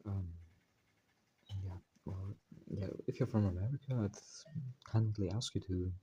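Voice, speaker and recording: Deep-voiced man, halting and full of fillers. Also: mellow, muffled recording, a little hiss or hum. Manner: hesitant, full of fillers and self-corrections; deliberately putting on an American accent. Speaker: channel host. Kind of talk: casual spoken introduction to a text study.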